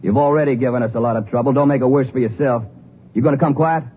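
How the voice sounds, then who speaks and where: A man's voice: medium pitch, quick pace, lightly acted. An actor playing a firm, plain-spoken lawman sidekick in a 1950s radio drama. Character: firm, loyal male Ranger sidekick. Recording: tinny, noisy old radio recording.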